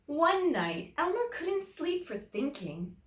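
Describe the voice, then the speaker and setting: A woman teacher reading a children's picture book aloud in an expressive, deliberate way, with emphatic phrasing for young listeners. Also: sits high. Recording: echoey room.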